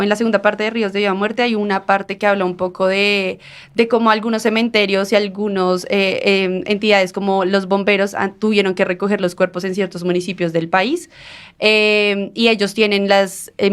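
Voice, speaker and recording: A woman journalist from Colombia, a radio guest who explains at length with restarts and hesitant pauses. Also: medium pitch, clean tone. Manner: rambling, many fillers and restarts.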